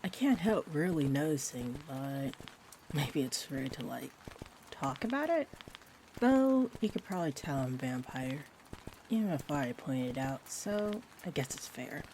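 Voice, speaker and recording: A woman acts out a sweet, bashful female vampire in a roleplay audio, her voice notably low for a woman. She rambles in a gentle, hesitant, intimate way. A faint wash of rain sits behind her. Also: unhurried, heavily acted, rough recording. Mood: shy, apologetic. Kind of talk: roleplay audio, intimate one-sided chat. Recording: steady rain-like ambient noise. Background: rain ambience.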